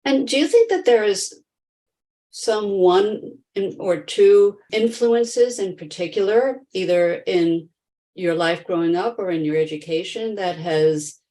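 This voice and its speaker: A woman's voice: mid-range voice, measured pace, warm tone. A woman hosting a podcast, introducing and questioning in a conversational interview style. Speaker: podcast host, nonprofit founder.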